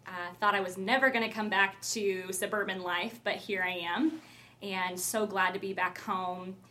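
A woman's voice: mid-range voice, steady tone, moderate pace, bright, forceful tone. A woman in her twenties from Iowa, a youth ministry staffer answering interview questions in an earnest, friendly way with lots of fillers.

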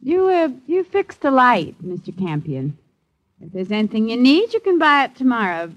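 A woman's voice: fairly high pitch, sing-song, normal speed, no echo. A scheming landlady, dull old recording. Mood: wary, defensive. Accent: American. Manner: urgent, defensive, plain-spoken. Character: scheming, suspicious, miserly landlady, sharp with husband. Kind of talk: 1950s American radio drama acting. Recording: dull, dry 1950s radio recording.

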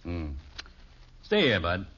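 A man's voice: deep voice, wildly swooping pitch, slow pace, a bit bright, exaggerated voice. A man playing an exasperated, stubborn middle-aged father in a 1950s radio sitcom, grumbling with folksy, emphatic sarcasm. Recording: dull 1950s radio broadcast recording.

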